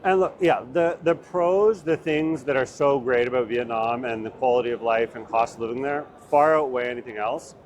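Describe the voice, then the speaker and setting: A man's voice: medium pitch, moderate pace, hardly any pauses, slight background noise. Earnest conversational male host. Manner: conversational, earnest, uses "like" and "man". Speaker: interview host, relocation consultant. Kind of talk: casual interview chat.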